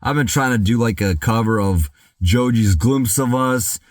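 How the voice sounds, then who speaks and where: A young man talking through a casual music reaction, rambling and excitable, with lots of "dude" and "like", a slightly worn voice, and loud outbursts when he gets hyped. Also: mid-range voice, moderate pace, dry recording, studio quality. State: voice worn from singing practice.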